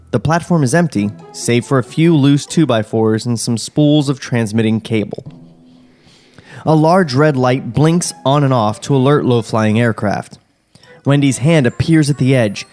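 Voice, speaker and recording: Male podcast narrator, middle pitch, reading action lines with casual banter over faint music. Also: darkish tone. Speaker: podcast host reading stage directions. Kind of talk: comedic table read, narration with casual banter. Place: podcast studio.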